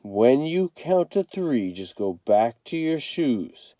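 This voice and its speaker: A man's voice: medium pitch, big pitch swings, takes it slowly, dark tone, no room sound. A man voicing a frightened, imaginative young boy in a storybook, with a dramatic, pleading delivery. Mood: self-calming. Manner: dramatic, pleading, talking to himself.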